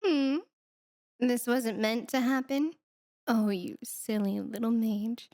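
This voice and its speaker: A woman's voice: fairly high pitch, steady tone, slow, warm tone, no echo, studio quality. A woman voicing a sardonic, teasing female demon with a condescending, flirty manner in an ASMR roleplay.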